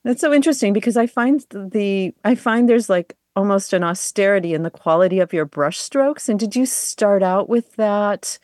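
A woman's voice: mid-range voice, normal speed, few pauses, a little mellow, no room sound, studio quality. A woman hosting a conversational arts interview, engaged and rephrasing her thoughts as she goes. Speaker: interviewer, radio host.

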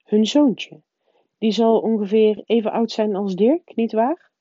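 A man's voice: high-pitched, animated, normal speed, warm tone, husky, dry recording, dull audio. A woman voicing a nervous middle-aged husband who mumbles hesitantly, as in a storybook reading. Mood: forced nonchalance.

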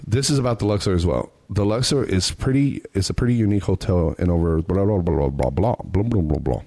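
A man's voice: low voice, brisk, mellow. A man and podcast co-host chatting casually, swearing freely and joking around. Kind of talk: casual chat.

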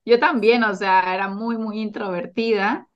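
A woman's voice: medium pitch, steady tone, moderate pace. A woman with a Latin American accent chatting casually as a podcast guest, a little hesitant, on slightly dull lo-fi audio. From Venezuela and Chile. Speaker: podcast guest, Spanish teacher. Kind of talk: casual interview chat.

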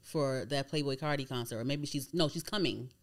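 A woman interviewer asking relaxed questions and giving short backchannels in a casual chat. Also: extremely low pitch, a little fast.

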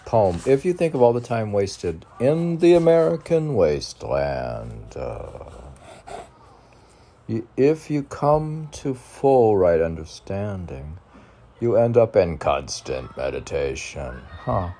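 An older man rambling through a solo podcast and poem readings, halting and musing, often questioning himself aloud. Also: on the low side, big pitch swings, mellow. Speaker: poet reading own poems on a podcast.

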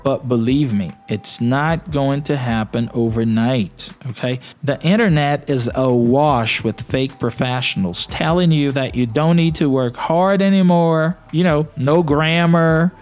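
A man's voice: medium pitch, measured pace, few pauses, a little mellow. A man from Brazil with a Brazilian accent, hosting an English-learning podcast in a conversational, encouraging teaching style, often checking in with "you know" and "okay?". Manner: encouraging, asks listeners questions, frequent "you know" and "okay?".

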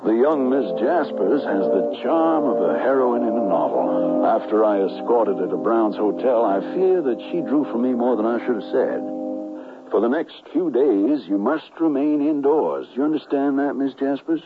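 An actor playing a cautious, gentlemanly conspirator in a western radio drama, speaking in a measured, formal and earnest way. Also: male voice, mid-range voice, over music, close to an everyday voice. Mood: guarded, courteous. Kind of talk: radio drama acting with first-person narration. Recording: muffled, narrow-band 1970s radio broadcast.

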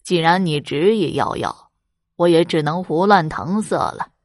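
A woman voicing a scornful old male beggar who talks slowly and mockingly. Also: fairly low pitch, very animated, gravelly, no room sound, studio quality, heavily acted. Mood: scoffing. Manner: slow, drawling, mocking. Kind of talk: storytelling.

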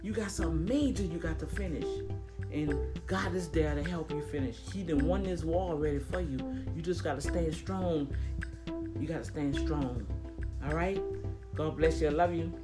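A hoarse middle-aged man talking casually from his car, preaching encouragement and repeating phrases. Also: on the high side, moderate pace, over loud music. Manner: lively, preachy, repeats phrases, laughs a lot. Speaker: vlogger and small business owner.

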